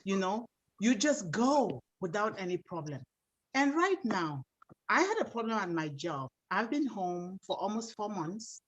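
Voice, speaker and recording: A woman's voice: mid-range voice, big pitch swings, a little slow, breathy edge, poor audio. A woman from Cameroon who calls in on a video call and earnestly tells a story.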